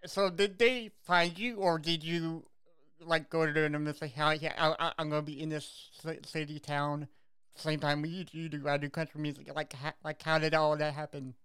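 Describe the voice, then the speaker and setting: An interview host who circles through long, filler-heavy questions. The voice is smooth and resonant at a middle pitch. The sound is dry and close. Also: steady tone, moderate pace, a bit bright, dry recording. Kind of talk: casual interview conversation.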